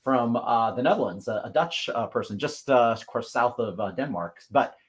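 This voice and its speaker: A man from the Pacific Northwest hosts a livestream over a video call. His voice sits at an ordinary mid pitch. He is effusive and upbeat, with plenty of "uh"s and "gosh"es. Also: animated, moderate pace. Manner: upbeat, effusive, many fillers. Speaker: host. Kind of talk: livestream talk show hosting.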